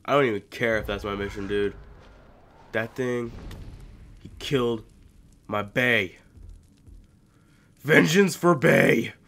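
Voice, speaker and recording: A young man casually commentating a video game as he plays, chatty and joking, with sudden loud outbursts. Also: medium pitch, takes it slowly, pauses often. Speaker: gaming YouTuber. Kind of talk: casual let's-play commentary.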